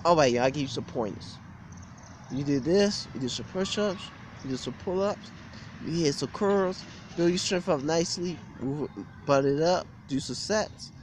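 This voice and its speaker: A man's voice: on the high side, moderate pace, a little hiss or hum. A young man giving a blunt, repetitive, aggrieved rant to camera in an informal way, recorded outdoors on a handheld device. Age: young adult. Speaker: gym vlogger. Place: outdoors beside a brick building on a street. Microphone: phone or webcam at arm's length.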